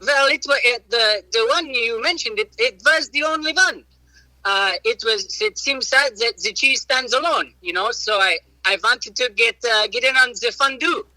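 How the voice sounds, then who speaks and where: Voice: mid-range voice, moderate pace, bright, forceful tone, no room sound, low-quality recording. Someone putting on a jolly accented cheesemaker. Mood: eager. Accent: put-on mixed European. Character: eager male cheesemaker with mixed foreign accent. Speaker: interview guest (comic character). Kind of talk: comic phone interview bit.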